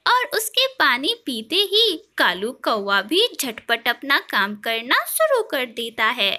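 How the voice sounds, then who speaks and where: Bright, high-voiced woman telling children's story. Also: sing-song, slow. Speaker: narrator. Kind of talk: scripted children's story narration.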